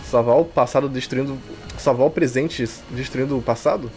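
A man's voice: mid-range voice, normal speed. A Brazilian man reading a visual novel aloud as a streamer, with irreverent, slangy commentary. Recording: game music behind the voice. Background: game music.

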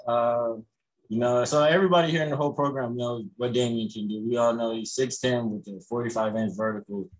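A young man, a college basketball player, answering reporters on a video call after a game, relaxed and full of "you know" fillers. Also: medium pitch, a little fast, low-quality recording. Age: young adult. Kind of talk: postgame press conference answers. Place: indoors in front of a logo backdrop.

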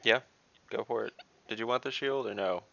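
A man's voice: fairly low pitch, normal speed, gravelly, a bit bright, no echo. A man chatting casually as a player in an online tabletop game. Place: video call from home. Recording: dull, lo-fi call audio.

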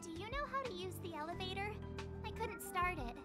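A woman's voice: very high-pitched, lively intonation, brisk, bright, forceful tone, faint music behind, full character voice. A woman voicing a cheerful young girl adventurer in a game, bubbly and reassuring. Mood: upbeat. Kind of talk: game dialogue voice acting.

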